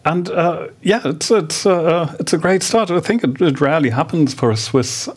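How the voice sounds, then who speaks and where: A man's voice: normal speed, husky. A man whose first language is German, hosting a radio interview and asking hesitant questions. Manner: hesitant questions, rising pitch. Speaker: radio host.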